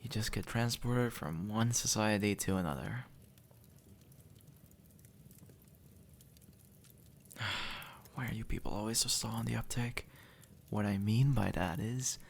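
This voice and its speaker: A man's voice: fairly low pitch, vocal fry. A man playing a sardonic, impatient demon in a conversational audio roleplay, blunt and sarcastic, speaking straight to the listener. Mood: weary, explaining. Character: sardonic, impatient male demon, centuries dead, gruffly reassuring.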